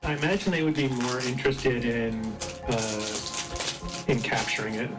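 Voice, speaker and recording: Male game player with a middle-range voice, casual tactical discussion, instrumental music clearly underneath. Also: medium pitch, normal speed, poor audio. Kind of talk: casual game strategy talk.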